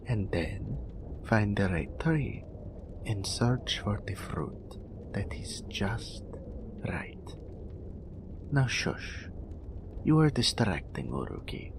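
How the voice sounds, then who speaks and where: Male actor for mystical naga oracle, intimate audio drama. Low, gravelly, very unhurried; proud yet tenderly teasing, speaks of himself in third person. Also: background noise, exaggerated voice. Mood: brisk, imperious. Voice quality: breathy, whispery, gravelly low voice.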